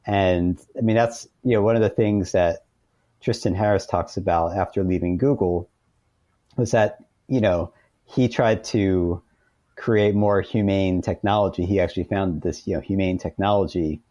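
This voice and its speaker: A man with a low, mellow voice talks as a guest in an interview. He explains his points one by one in an informal, conversational way, and the sound is dry and close. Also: normal speed, no echo, muffled recording. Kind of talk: casual explanatory interview talk.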